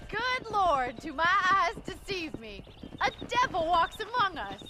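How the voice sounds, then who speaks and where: An actress playing a spirited, frank young rancher's daughter in a game, playfully sardonic. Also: female voice, very high voice, big pitch swings, unhurried, full character voice. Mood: teasing. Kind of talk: video game cutscene acting. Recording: outdoor horse noise, neighing.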